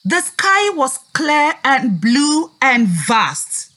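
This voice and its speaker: A woman with a high, very lively voice teaches young children through a story. She speaks extremely slowly and deliberately, as a teacher would, with music behind her. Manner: slow, deliberate, expressive, prompting listeners to repeat. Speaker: teacher leading a children's read-aloud lesson.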